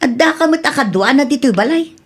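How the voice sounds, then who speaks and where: A woman's voice: sits high, expressive, brisk. A woman with an Ilocano accent playing a grumpy, stingy married woman in a radio drama, brusque, whining and defensive. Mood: irritated, dismissive. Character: grumpy, tight-fisted married woman, dismissive of others.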